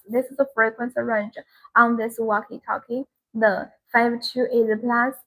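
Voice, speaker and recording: Lady with a high voice selling stuff on a livestream, explains it step by step and repeats herself. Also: fairly bright tone, low-quality recording. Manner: repetitive, instructional presenting. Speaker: live-stream product seller. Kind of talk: live-stream sales demonstration.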